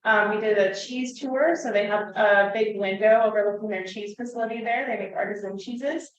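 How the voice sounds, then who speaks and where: A woman's voice: mid-range voice, normal speed, dull audio. Distant woman presenting in an echoey room. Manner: steady, with frequent "um" fillers. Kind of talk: conference presentation.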